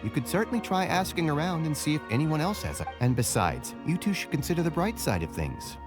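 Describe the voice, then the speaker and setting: An actor playing an affable, sly academic researcher in a video game, talking in a wordy, mock-humble way. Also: male voice, on the high side, big pitch swings, brisk. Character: glib, self-deprecating male academic researcher, affable and sly. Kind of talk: video game character acting. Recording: background music.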